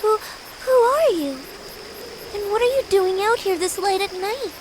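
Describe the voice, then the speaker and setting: Slow-speaking, very high-voiced little girl. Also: slight background noise. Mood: wondering. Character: gentle, curious young girl with magic powers, facing death. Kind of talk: video game cutscene voice acting.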